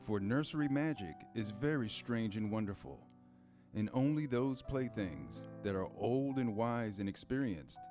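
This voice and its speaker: A man's voice: on the low side, lively intonation, unhurried, darkish tone, soft background music. A man narrating a children's story as scripted reader's theater.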